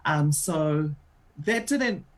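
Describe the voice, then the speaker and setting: A woman's voice: low voice, animated, drawn-out words. A woman with a New Zealand accent, a radio show host being interviewed on air, talking in a chatty way with many filler ums.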